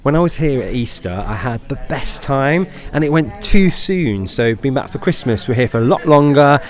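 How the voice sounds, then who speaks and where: A man's voice: medium pitch, animated, normal speed. A man chatting playfully in an interview as a pantomime actor, with a camp, effusive manner full of endearments. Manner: camp, effusive, calls people darling and babes.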